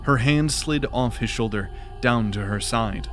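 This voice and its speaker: A man reading scripted fantasy narration in a measured, dramatic way for an audio drama. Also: mid-range voice, slight background noise.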